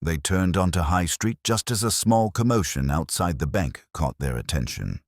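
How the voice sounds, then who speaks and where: A man's voice: low voice, very animated, normal speed, no room sound. A man narrating a cosy mystery story from a script in a dry, wry manner.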